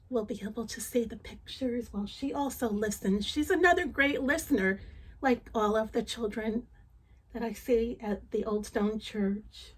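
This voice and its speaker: A woman reading a children's story aloud, gentle and expressive, chatting to her young listeners as she goes. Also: on the high side, husky, poor audio.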